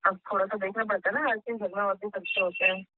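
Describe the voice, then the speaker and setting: A woman's voice: mid-range voice, fairly even intonation, fast, no room sound, natural voice. A woman playing a worried, apologetic tenant, speaking in a hesitant, placating way in a staged phone call drama. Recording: narrow tinny phone line.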